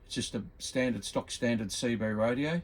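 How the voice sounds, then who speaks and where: A man's voice: medium pitch, moderate pace. A man giving a casual, hobbyist demonstration commentary, presenting in an informal way. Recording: loud radio static and noise. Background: CB radio static and chatter.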